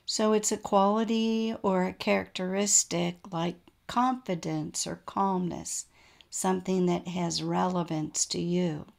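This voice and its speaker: A woman guiding a relaxation meditation as a yoga nidra teacher, in a gentle, soothing manner with unhurried pauses. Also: on the low side, steady tone, vocal fry.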